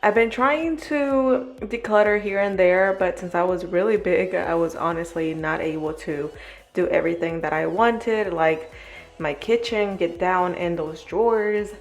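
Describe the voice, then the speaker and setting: A woman's voice: fairly low pitch, unhurried. A young woman with a cold, chatting casually to camera about cleaning her house, with fillers and small laughs. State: husky from a cold. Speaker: vlogger.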